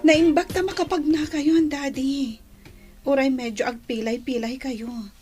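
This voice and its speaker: A woman playing a remorseful, humbled grown daughter in an audio drama, with a husky, breathy delivery. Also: sits high, fairly even intonation, measured pace, warm tone, soft background music. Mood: humbled, apologetic.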